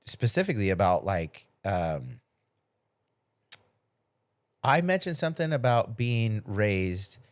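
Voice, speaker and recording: A man's voice: fairly low pitch, very animated, slow pace, pauses often, clear, resonant tone, no echo. A middle-aged man in a casual podcast chat, telling personal stories with acted-out quotes and loose, salty language. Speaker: podcast host.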